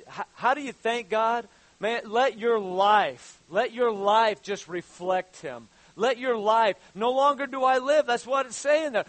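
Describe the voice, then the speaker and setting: A man's voice: sits high, fairly bright tone, no echo. A man in his fifties preaching a sermon, stumbling over repeated words and then building up to fervent exhortation. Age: 50s. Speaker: pastor.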